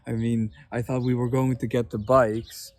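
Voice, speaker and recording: A man chatting casually as a vlog host, telling stories in a halting, stammering, joking way. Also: medium pitch, flat delivery. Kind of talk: casual rambling storytime chat. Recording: noisy amateur vlog recording.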